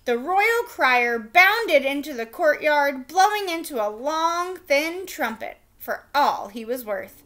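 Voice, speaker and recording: A woman teacher reading a chapter book aloud to children with expressive, animated storytelling. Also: high-pitched, takes it slowly, hardly any pauses.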